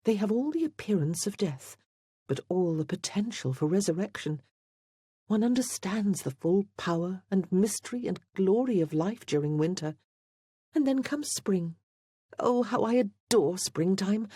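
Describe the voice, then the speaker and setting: A woman reader plays a candid, spirited heroine of a period romance audiobook. The voice lifts and swoops with lively intonation, softly husky and close. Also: medium pitch, moderate pace, no echo, pitched above the performer's own voice. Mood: delighted.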